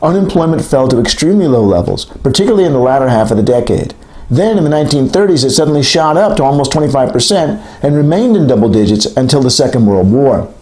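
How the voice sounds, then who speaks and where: A man reading a textbook aloud as scripted narration, in a measured, clearly enunciated lecturing way. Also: mid-range voice, animated, darkish tone, low-quality recording. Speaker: author reading an audiobook chapter.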